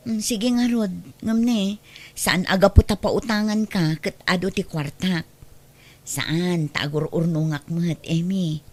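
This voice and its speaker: A woman's voice: on the low side, takes it slowly, darkish tone. An actress playing a wary neighbor woman who nags about debts, in an Ilocano radio drama. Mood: reluctant.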